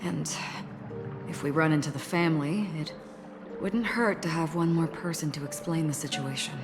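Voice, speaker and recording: Low, calm swordswoman in long reverb. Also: moderate pace, music in the background. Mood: calm, guarded. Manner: formal, level-headed. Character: calm, formal, protective female swordswoman. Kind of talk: scripted video game voice acting.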